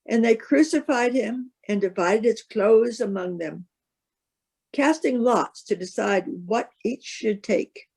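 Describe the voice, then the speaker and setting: A woman's voice: a little high, slow pace, creaky, a little mellow, breathy edge. A woman reading a gospel passage aloud as scripted narration. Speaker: scripture reader.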